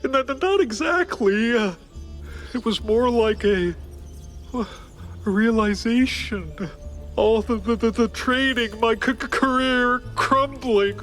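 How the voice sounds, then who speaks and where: A man voicing a timid, jittery cartoon high-wire performer, polite and halting, with a nervous stammer. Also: a bit bright, faint music behind, heavily acted. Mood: anxious stammering. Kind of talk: cartoon game voice acting.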